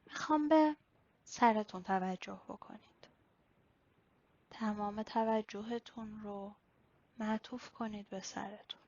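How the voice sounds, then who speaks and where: A woman leading a guided body-scan meditation in a slow, instructional way with pauses. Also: medium pitch, darkish tone, dry recording. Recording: slightly dull, lo-fi recording.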